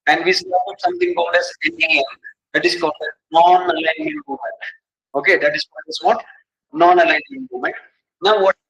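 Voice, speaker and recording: A man's voice: high voice, very animated, fairly quick, gravelly, fairly bright tone, lo-fi sound. A man with an Indian English accent teaching an exam coaching class in a didactic way, repeating key terms and asking rhetorical questions. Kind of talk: classroom lecture.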